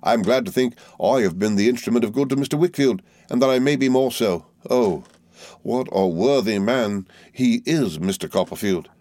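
A man's voice: medium pitch, moderate pace, warm tone, no room sound, pitched above the performer's own voice, natural voice. A man reading aloud and voicing a fawning, falsely humble young male clerk with a wheedling, obsequious manner.

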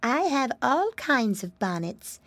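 A woman's voice: on the high side, sing-song, takes it slowly, a bit bright, no room sound, pitched above the performer's own voice, exaggerated voice. A woman voicing a nice, obliging frog lady shopkeeper in a children's storybook, with a singsong manner.

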